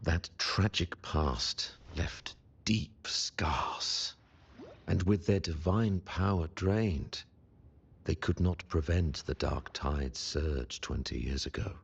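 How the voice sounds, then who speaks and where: An actor playing a solemn, pious and secretive high priest in a video game, speaking in a measured, formal way. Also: male voice, creaky, slightly rough, full character voice. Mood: solemn, reverent. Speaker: religious leader in a fantasy game. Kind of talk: scripted video game dialogue. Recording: muffled audio with music behind.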